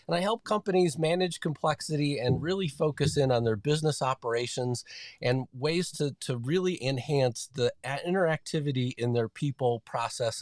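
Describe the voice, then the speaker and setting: A man with a mid-range voice hosts a podcast in an upbeat, loose way, often restarting and filling pauses. The recording is dry and close, with almost no room sound. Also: mid-range voice, dry recording. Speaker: podcast host. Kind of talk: podcast interview hosting.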